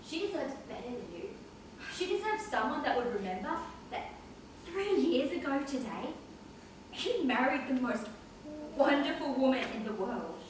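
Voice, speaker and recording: A woman's voice: high voice, big pitch swings, normal speed. A woman playing an agitated, aggrieved character with emphatic, confrontational delivery in an amateur stage play. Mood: bitter. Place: college theatre stage, picked up from a distance. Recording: very echoey hall, distant mic, faint noise.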